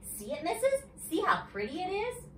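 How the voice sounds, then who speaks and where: A woman voicing an excitable, sassy young schoolgirl in a children's storybook, with blunt, childish phrasing. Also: very high voice, normal speed, roomy sound, distant mic, slight background noise, voice pushed up, exaggerated voice. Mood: eager, proud. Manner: childish grammar, blunt and emphatic.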